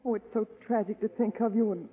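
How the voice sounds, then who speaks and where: A woman's voice: mid-range voice, steady tone, brisk, breathy edge, natural voice. Actress as an earnest society woman, pleading, old tinny radio play with a long hall echo. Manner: emotive, pleading. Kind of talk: 1940s radio acting.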